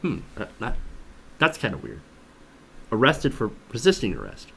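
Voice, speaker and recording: A man's voice: medium pitch, wildly swooping pitch, normal speed, gravelly, a bit bright, slightly rough. A man giving conversational news commentary as a host, skeptical and emphatic, often posing rhetorical questions. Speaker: host of a political commentary show.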